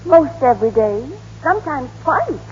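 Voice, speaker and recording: Prim folksy spinster, matter-of-fact, high lilting voice, echoing vintage radio comedy. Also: female voice, sing-song, normal speed. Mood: prim certainty. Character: quaint, prim, gossipy small-town spinster sisters. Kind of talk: 1930s-40s radio drama comic acting. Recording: echoey, muffled, noisy old radio broadcast.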